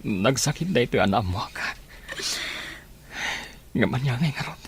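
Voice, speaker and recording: A man's voice: mid-range voice, lively intonation, measured pace, gravelly, breathy edge, exaggerated voice. A man with an Ilocano accent acting a heartbroken, sincere young man in an audio drama, plaintive and earnest. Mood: sad, resigned. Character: heartbroken young man resigned to unrequited love, sincere and gentle.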